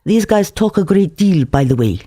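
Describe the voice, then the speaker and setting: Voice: mid-range voice, very animated, normal speed, dark tone, voice pushed up. A narrator voicing a boastful, combative man working a café night shift.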